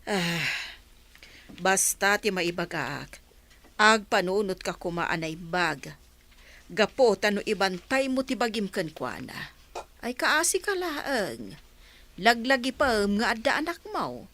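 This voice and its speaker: A woman's voice: medium pitch, sing-song, slow, long pauses, a bit bright, exaggerated voice. A woman acting a concerned, earnest confidante in a radio drama, giving advice with emphatic feeling. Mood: admonishing, concerned.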